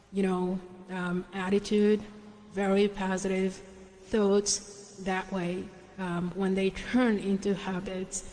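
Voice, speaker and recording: A woman's voice: mid-range voice, long reverb tail. A woman from Senegal with a Senegalese accent, hosting a motivational radio talk show in an earnest, hesitant way full of ums and restarts. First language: French and Wolof.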